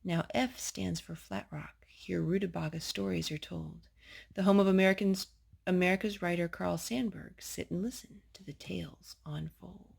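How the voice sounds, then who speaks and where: A woman with a slightly husky voice reading a children's picture book aloud as a storytime host. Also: lowish voice, fairly even intonation, moderate pace, darkish tone.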